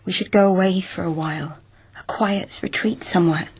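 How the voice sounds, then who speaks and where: An actress playing a frightened, fragile young noblewoman who speaks softly and pleadingly. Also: lowish voice, normal speed, breathy edge. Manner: soft, pleading. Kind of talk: radio drama acting.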